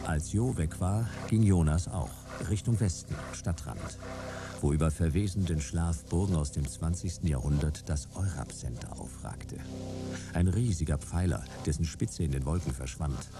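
A man's voice: deep voice, moderate pace, over music. A man playing a detective who narrates his own case in a terse, wry noir style for a radio drama. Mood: dry, sardonic.

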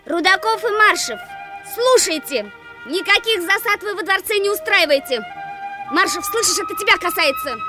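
Very high-voiced, self-assured schoolgirl. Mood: commanding. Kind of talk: Soviet radio play acting.

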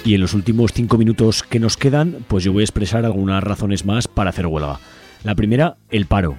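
A man's voice: fairly low pitch, brisk, over music. A man with an accent from Spain presenting an informal activist radio show in a conversational, politically engaged way. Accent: Spanish from Spain. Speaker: radio host.

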